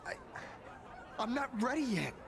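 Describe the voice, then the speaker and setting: A voice actor playing a nervous cult insider in a video game, stammering and halting. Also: medium pitch, sing-song, creaky, a faint crowd, slight background noise. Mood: uneasy.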